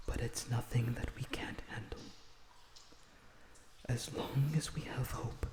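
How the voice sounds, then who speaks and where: Casting: male actor as a tender vampire prisoner for audio roleplay, pleading softly and slowly, roomy echo. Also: mid-range voice, lightly acted. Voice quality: breathy, often dropping to a whisper. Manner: soothing, pleading, slightly formal phrasing. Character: lonesome, gentle, earnest male vampire prisoner.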